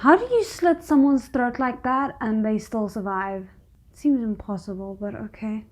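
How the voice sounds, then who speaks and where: A woman hosts a reaction video in a higher-than-average voice. She talks casually as commentary, with a squeamish, dryly sarcastic manner. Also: normal speed, darkish tone, slight background noise. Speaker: host of a reaction video channel.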